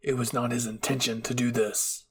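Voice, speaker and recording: A man voicing a calm, curt, commanding male military captain in an anime fan story, terse and controlled. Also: mid-range voice, normal speed, a little hiss or hum, natural voice. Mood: calm, stern.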